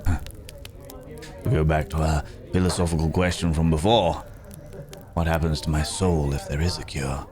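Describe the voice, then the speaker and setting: Man acting a very deep-voiced, weary cursed werewolf. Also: fairly even intonation. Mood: wistful, doubtful. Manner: musing, hesitant, old-fashioned formal speech with long pauses. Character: weary cursed werewolf man, philosophical, gently hopeful, courteous. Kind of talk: slow intimate ASMR audio roleplay acting. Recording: background crowd murmur.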